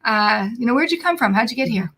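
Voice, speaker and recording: A woman's voice: on the high side, lively intonation, quick pace, fairly bright tone. A woman hosting a live podcast over a video call, bubbly and chatty, with many fillers and restarts. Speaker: podcast host. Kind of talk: casual live podcast chat.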